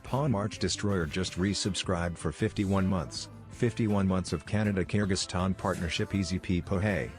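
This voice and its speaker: A man's voice: deep voice, normal speed, few pauses, faint music behind. A man's voice reading out a subscriber alert message on a livestream. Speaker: automated alert reader on a livestream.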